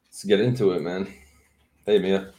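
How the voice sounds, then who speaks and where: A sick man co-hosts in a low, laid-back voice. He sounds roomy and a bit distant, with faint hiss and clicks behind him. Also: steady tone, normal speed. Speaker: podcast co-host. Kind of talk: casual podcast talk. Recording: roomy remote line with faint noise.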